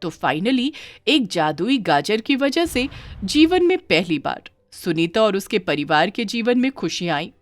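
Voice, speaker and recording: A woman narrating a scripted children's moral story with dramatic, expressive delivery. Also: medium pitch, a little slow, no echo, soft background music.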